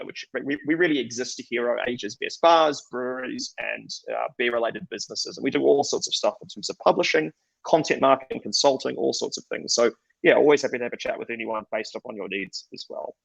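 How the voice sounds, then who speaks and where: Male speaker with a New Zealand accent, quick delivery, gravelly edge, dry close recording. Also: medium pitch, no room sound, rough recording. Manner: conversational, self-deprecating, frequent restarts. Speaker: conference speaker. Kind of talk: conference presentation.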